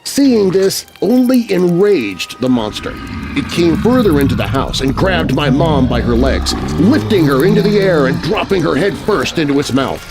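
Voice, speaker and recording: A man narrating a scary children's story in an expressive, suspenseful, scripted style. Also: fairly high pitch, moderate pace, gravelly, music in the background, poor audio. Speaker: narrator, voice artist.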